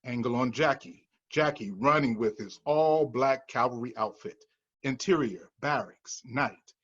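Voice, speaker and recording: Guy narrating a script, husky mid voice, dull sound w faint music. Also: mid-range voice, a little slow, a bit bright. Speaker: stage directions reader. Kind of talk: scripted screenplay narration at a table read.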